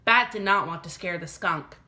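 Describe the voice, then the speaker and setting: A woman teacher narrating a children's storybook aloud with careful, expressive phrasing. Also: fairly low pitch, normal speed. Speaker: elementary teacher reading aloud.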